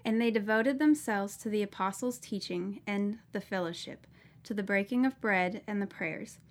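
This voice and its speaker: A woman's voice: mid-range voice, moderate pace. Woman reading scripture steadily. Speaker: scripture reader.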